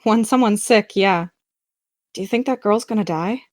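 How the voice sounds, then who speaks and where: A woman's voice: mid-range voice, moderate pace, dry recording, lightly acted. Dry, curious teenage girl, played by a woman. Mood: wondering, hesitant. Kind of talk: audio drama dialogue.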